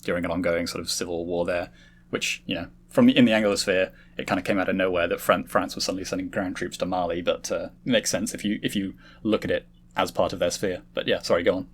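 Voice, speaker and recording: A man's voice: low-pitched, fairly even intonation, fast talker, a little hiss or hum. A man hosting a casual podcast discussion, talking in a wordy, explanatory way. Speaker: host leading a discussion.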